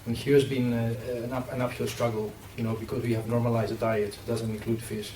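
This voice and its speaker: A man's voice: medium pitch, little pitch movement, fast, mellow. A middle-aged man with a Greek accent, speaking earnestly on a roundtable panel, with many fillers and restarts. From Greece. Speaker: panelist working with athletes. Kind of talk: roundtable discussion. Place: event room. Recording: distant mic in an echoey, noisy room.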